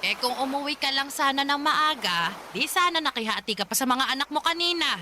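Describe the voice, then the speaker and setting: A woman's voice: sits high, lively intonation, fairly quick, bright, forceful tone, slight background noise, exaggerated voice. A woman voicing a stern grandmother who scolds her grieving, drinking son, reproachful in a radio drama. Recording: music bed underneath.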